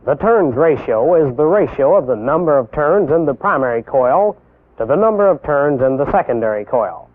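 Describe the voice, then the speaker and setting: A young American man giving scripted instructional narration as a military instructor, deliberate and didactic, posing rhetorical questions. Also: a little high, expressive. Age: young adult. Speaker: military instructor presenting a training film. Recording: tinny band-limited 1960s film soundtrack.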